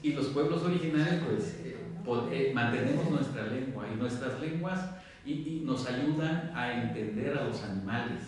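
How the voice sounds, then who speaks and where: A man from southern Mexico whose first language is Zapotec, speaking as a writer on a round-table panel in a measured, explanatory way. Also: medium pitch, darkish tone. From Isthmus of Tehuantepec, Mexico. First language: Diidxazá (Zapotec). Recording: echoey room, far from mic, faint noise.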